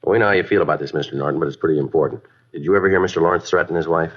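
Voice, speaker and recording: A man acting a stoic, terse police detective in an old-time radio drama, matter-of-fact and deadpan. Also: low voice, very fast, natural voice. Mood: businesslike. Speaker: lead actor and narrator of a detective series. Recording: dull vintage radio broadcast with music.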